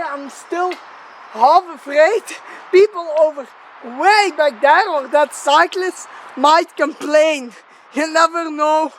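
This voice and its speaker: A woman chatting casually and rambling as she presents a gear test outdoors, informal, sweary and self-deprecating, in a noisy location recording. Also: high voice. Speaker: gear tester presenting a megaphone test video. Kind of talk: casual rambling vlog chat. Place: outdoors in an open area near a road.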